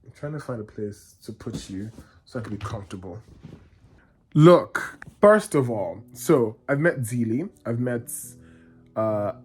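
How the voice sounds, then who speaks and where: Excitable man gushing about books. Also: mid-range voice, unhurried, breathy edge. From Nigeria. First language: Yoruba. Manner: excitable, gushing, rambling with restarts. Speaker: book vlogger. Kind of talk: casual reading vlog chat.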